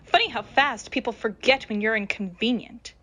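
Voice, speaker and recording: A woman's voice: a little high, sharp tone. A woman playing the bitter, taunting ghost of a young woman in a radio mystery, drawling sarcastically. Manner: slow, sarcastic. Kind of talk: radio mystery drama acting.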